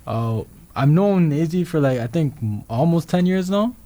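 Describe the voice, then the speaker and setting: Give me a young man with a soft, warm voice, talking haltingly as a podcast guest. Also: a little high, animated. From Toronto, Canada. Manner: hesitant, many ums and restarts. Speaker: guest musician. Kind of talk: casual interview chat.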